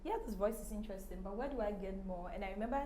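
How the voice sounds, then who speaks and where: A woman's voice: medium pitch, very fast, warm tone. A woman hosting a podcast interview, encouraging and chatty, laughing often. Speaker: podcast host. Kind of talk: casual interview chat. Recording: echoey room with faint background hiss.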